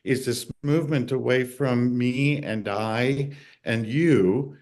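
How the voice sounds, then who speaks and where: A man at a middling pitch speaks on an online panel. He talks earnestly and with enthusiasm, encouraging the others on the panel. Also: fairly even intonation, slow, a little mellow.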